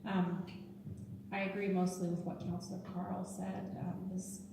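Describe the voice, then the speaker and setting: A woman's voice: lowish voice, flat delivery, a little slow, vocal fry. A woman running for city council, answering hesitantly at a candidate forum and restarting her sentences often. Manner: hesitant, many fillers and restarts. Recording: echoey hall from far off, noisy.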